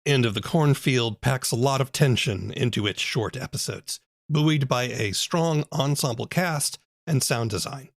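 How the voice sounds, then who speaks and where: A man working as a podcast host, asking questions and presenting in a measured, prepared style. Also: mid-range voice, creaky, dry recording, studio quality. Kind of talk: interviewer and scripted podcast narration.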